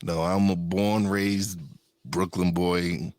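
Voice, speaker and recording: A man's voice: low-pitched, little pitch movement, takes it slowly, darkish tone, no echo. A man hosting a podcast in a casual, chatty interview style. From New York, USA. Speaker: podcast host.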